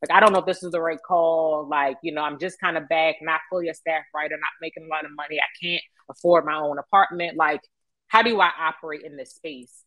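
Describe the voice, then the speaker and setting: Low-pitched American woman telling her story. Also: fairly even intonation, fairly quick, bright, forceful tone, no room sound, low-quality recording. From Baltimore, United States. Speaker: interview guest, media professional. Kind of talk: conversational personal storytelling.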